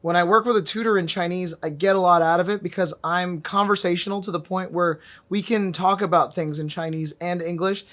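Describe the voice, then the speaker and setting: Guy doing a chill vr app review vlog, dry jokes, random loud bursts. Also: high-pitched, steady tone, a little fast, few pauses. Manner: wry, joking, with sudden emphatic bursts. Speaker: VR fitness and language vlogger.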